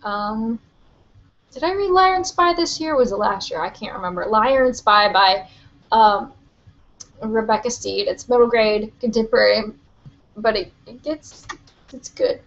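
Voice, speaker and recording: A young woman hosting a live book Q&A from her webcam, chatty and rambling with lots of "like" and "um", her voice a little hoarse. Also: on the high side, measured pace, long pauses, a bit bright, muffled recording. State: slightly hoarse, losing her voice. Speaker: book vlogger. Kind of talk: live-stream Q&A.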